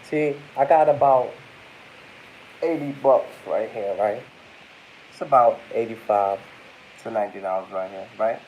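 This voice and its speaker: A man's voice: lowish voice, unhurried, pauses often, phone-quality sound, a little hiss or hum. A congested young man vlogging casually, rambling and talking to his viewers as y'all. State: congested, under the weather. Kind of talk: casual talk to camera.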